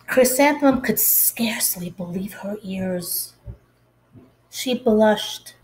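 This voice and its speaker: A woman with a fairly high voice reads a children's picture book aloud in the manner of a schoolteacher. Her pace is very slow and deliberate. She is expressive and speaks directly to young listeners, asking them questions. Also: slight background noise, poor audio.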